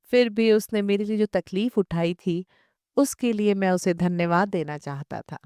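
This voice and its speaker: A woman's voice: medium pitch, moderate pace, mellow, clean tone, no room sound, studio quality. A woman reading a literary short story as an audiobook narrator in a scripted style.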